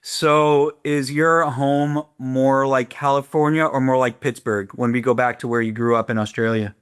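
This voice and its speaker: A man's voice: medium pitch, fairly even intonation, normal speed, clean tone. A middle-aged man with an American accent, hosting an informal interview in an effusive, conversational manner. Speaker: podcast host.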